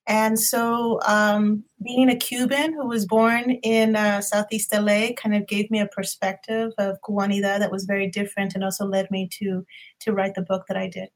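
A woman professor from Los Angeles, a podcast guest who says she is tired, talking in a relaxed, chatty way. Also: medium pitch, normal speed, rough recording. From Southeast Los Angeles, California.

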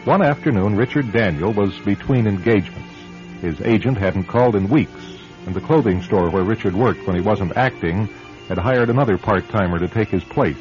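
A man narrating a scripted radio suspense drama as its host, in a dramatic, measured manner. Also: lowish voice, over music. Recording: dull radio recording.

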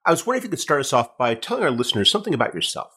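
A man hosting a history-book podcast, asking his guest questions in a calm, polite way. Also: mid-range voice, racing, slightly rough. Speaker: podcast host. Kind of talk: podcast interview.

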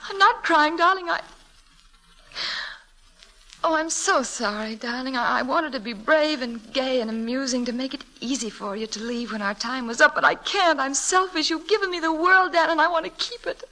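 An actress playing a tender, devoted young schoolteacher in an old-time radio drama, speaking with emotional exclamations and endearments. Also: female voice, sits high, normal speed, bright, forceful tone, poor audio. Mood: tearful, pleading. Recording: vintage radio drama with music.

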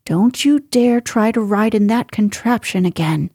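A weary older housekeeper fusses and scolds with exasperation, read by a woman. She speaks slowly in a soft, mellow voice. Faint music plays under the close, dry sound. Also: medium pitch, slightly rough, no room sound. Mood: exasperated. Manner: exasperated, fussing.

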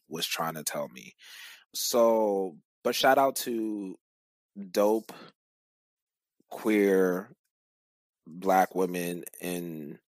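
Man, from Cameroon, lowish voice, dry recording, casual reflective podcast guest, emphatic slangy storytelling. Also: no room sound. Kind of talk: casual, reflective interview talk.

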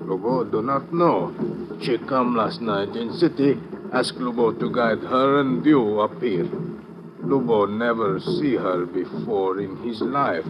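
Casting: male actor as steady Haitian guide, radio adventure, short broken-English sentences, muffled old recording. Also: mid-range voice, slow pace, heavy background noise, natural voice. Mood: guarded, earnest. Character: loyal, calm Haitian male jungle guide. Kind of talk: 1950s radio drama acting.

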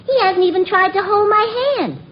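A woman's voice: very high voice, lively intonation, moderate pace. An actress playing a naive, brassy, man-hungry spinster in an old radio comedy. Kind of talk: 1940s-50s radio comedy acting. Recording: noisy, muffled vintage radio broadcast.

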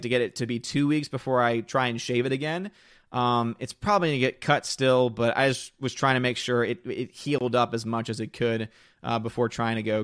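Slightly hoarse man chatting on stream. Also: medium pitch, a bit bright, no echo, studio quality. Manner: rambling, restarts and reads chat aloud. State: slightly hoarse, losing his voice. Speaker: live streamer. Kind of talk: casual live-stream chat reading comments.

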